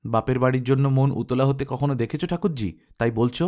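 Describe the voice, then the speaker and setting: A man's voice: medium pitch, fairly quick, a little mellow, natural voice. A man voicing a wounded, strong-willed young daughter-in-law in a story reading, speaking bluntly and resolutely. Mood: defiant, resolute.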